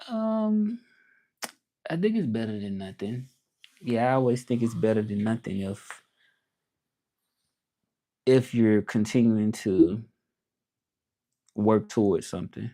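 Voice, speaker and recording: A man's voice: medium pitch, lively intonation, takes it slowly, pauses often, dark tone. A man from Memphis with a Southern accent, talking casually and reflectively on a livestream, rambling with many fillers. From Memphis, Tennessee. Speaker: livestream host.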